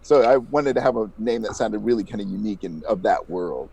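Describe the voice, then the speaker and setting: A man's voice: on the low side, fairly quick, vocal fry, dark tone, slightly rough. A man speaking casually as an interview guest, a podcast creator giving his thoughts.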